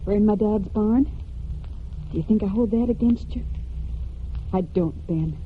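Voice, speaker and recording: An actress in a 1940s American radio drama playing an earnest, quietly tender young woman of a farm household, direct and sincere. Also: medium pitch, a little slow, lightly acted. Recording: tinny, noisy 1940s radio broadcast.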